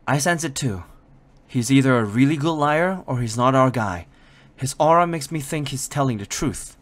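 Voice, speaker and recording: A woman's voice: a bass voice, expressive, moderate pace. A woman voicing a caring, perceptive Pokémon partner in a fan audio drama. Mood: thoughtful.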